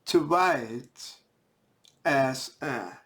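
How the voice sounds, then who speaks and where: A man from Denmark, a native Danish speaker with a Danish accent, talks as an author in a halting way, searching for words and repeating phrases. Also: mid-range voice, animated, a bit bright. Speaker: indie author. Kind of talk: talking to camera about own writing.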